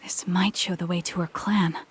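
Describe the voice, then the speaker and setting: A woman's voice: fairly low pitch, steady tone, normal speed, breathy edge. An actress playing a guarded, wry young woman thief in a video game. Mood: hushed, pensive.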